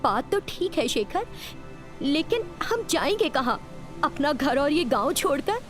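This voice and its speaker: A woman voicing a devoted, protective she-devil wife in a cartoon story, earnest and expressive. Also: sits high, measured pace, faint music behind, voice pushed up. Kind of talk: Hindi cartoon story voice acting.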